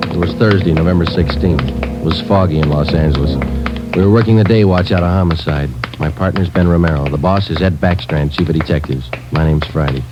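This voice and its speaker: An actor playing a terse, hard-bitten police sergeant who narrates the case in clipped, matter-of-fact lines, in a 1950s radio drama. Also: male voice, low-pitched, lightly acted. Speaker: detective narrator. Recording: dull lo-fi old radio recording with hiss.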